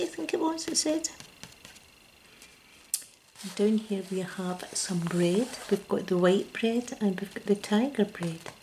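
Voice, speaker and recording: Scottish lady whispering asmr real slow, soft and close, bit of hiss behind. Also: medium pitch, poor audio. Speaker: ASMR creator. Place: indoors at a table.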